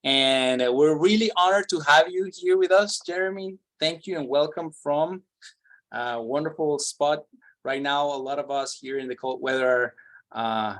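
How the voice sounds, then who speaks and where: A man hosting an online event who welcomes the guest speaker in a friendly, halting way. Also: on the high side, a bit bright. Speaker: host introducing a guest. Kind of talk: casual welcome.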